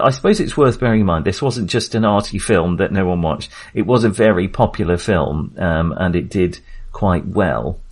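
A man talking casually as a film podcast host, in a hesitant, rambling but enthusiastic way. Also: on the low side.

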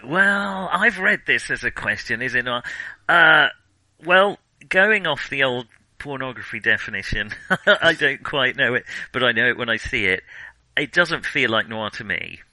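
A man's voice: medium pitch, lively intonation, unhurried, sharp tone, dry recording, rough recording. A man co-hosting a casual film discussion, hesitant as he thinks his way aloud through his opinions. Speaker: film podcast co-host.

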